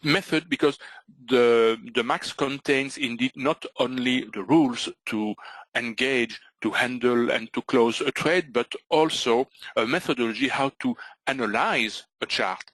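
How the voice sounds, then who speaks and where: A middle-aged Belgian man, not a native English speaker, guides a live online webinar. He halts often, filling gaps and restarting phrases. His voice sits at an ordinary pitch in a dry, close recording. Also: measured pace, fairly bright tone, no echo, low-quality recording. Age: middle-aged or older. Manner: hesitant, many fillers and restarts. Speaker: trading webinar presenter.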